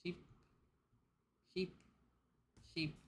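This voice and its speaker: A woman's voice: very slow, slight background noise. A young woman chatting casually on a livestream, sounding sleepy, rambling and playfully repeating herself. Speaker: VTuber livestream host. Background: background music.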